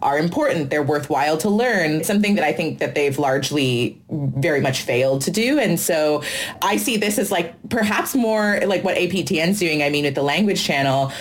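Low-pitched woman in casual discussion. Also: animated, moderate pace, slight background noise. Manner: conversational, opinionated, frequent "like".

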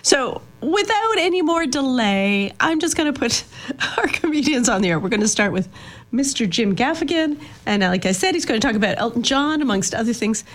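A woman's voice: a little high, expressive, brisk. A woman hosting a radio show in a studio, speaking in a casual, hesitant way with many fillers and light laughs. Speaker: radio host.

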